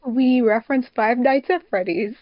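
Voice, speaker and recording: A woman's voice: on the high side, normal speed, dry recording. A woman chats casually as a podcast co-host. Her manner is informal and giggly, full of slang. The sound is dry and a little dull, like a remote voice call. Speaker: podcast co-host, fandub production crew member and editor.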